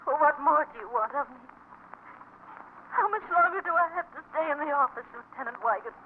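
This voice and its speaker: A woman's voice: high-pitched, expressive, brisk. An actress in 1940s radio style playing a grieving, weary young woman on the defensive. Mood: weary, distressed. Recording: tinny old radio broadcast, faint noise.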